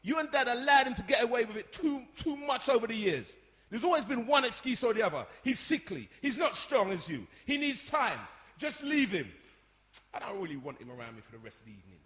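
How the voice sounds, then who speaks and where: A man's voice: medium pitch, very animated, racing, few pauses, lightly acted. A man playing an exasperated, outspoken young older brother in a radio drama, chiding and emphatic. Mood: resentful. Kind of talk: radio soap acting before a live audience. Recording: echoey hall, long reverb.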